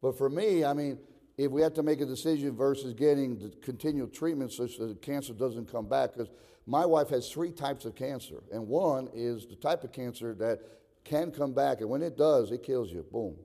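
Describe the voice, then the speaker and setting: A man's voice: mid-range voice, moderate pace. Older male preacher in a reverberant hall. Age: 60s. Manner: emphatic, swings from conversational to shouted exhortation. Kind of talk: sermon. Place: large reverberant room, preaching to a congregation.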